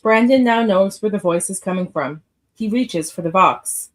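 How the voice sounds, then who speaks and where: A woman's voice: medium pitch, normal speed. Female narrator, mid-range voice, reading scripted stage directions for a live radio drama.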